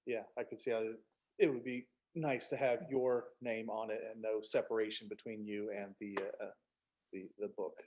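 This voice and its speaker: A man's voice: on the low side, flat delivery, normal speed, darkish tone, slight background noise. A man speaking as an author guest in a casual interview, telling anecdotes in a self-deprecating, joking way.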